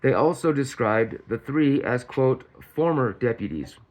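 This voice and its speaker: A man's voice: medium pitch, lively intonation, a little slow, low-quality recording. A man hosting a commentary channel, reading out news in a casual way and often tripping over words and correcting himself.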